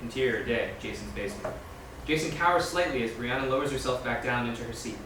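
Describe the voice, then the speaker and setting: A man reads stage directions quickly in a very echoey, noisy room, some way from the mic. His delivery is scripted narration, moving briskly through screenplay scene descriptions. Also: medium pitch, distant mic. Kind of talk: reading screenplay directions aloud.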